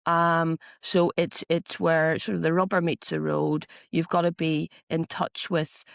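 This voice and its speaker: A woman in her forties with a Belfast accent talks through her ideas as a podcast guest. She is articulate and reflective and thinks aloud. Her voice has a heavy vocal fry, and the recording is close and dry. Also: low voice, flat delivery, a little slow, no room sound. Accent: Northern Irish, Belfast. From Northern Ireland. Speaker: guest, philosopher and researcher. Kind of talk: podcast interview.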